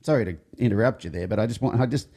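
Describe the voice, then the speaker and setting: A man's voice: fairly low pitch, racing, mellow, breathy edge. A man hosting a casual talk, telling rambling horse-training anecdotes and often restarting his sentences. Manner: rambling, self-interrupting, jokey anecdotes. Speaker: horse trainer and show host. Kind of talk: casual conversational storytelling.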